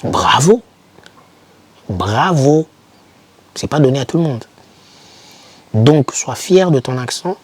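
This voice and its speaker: A man's voice: mid-range voice, normal speed, long pauses, warm tone, no room sound. A young man giving informal motivational advice to camera, speaking emphatically and repeating key words to encourage the listener. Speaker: YouTuber advising foreign students.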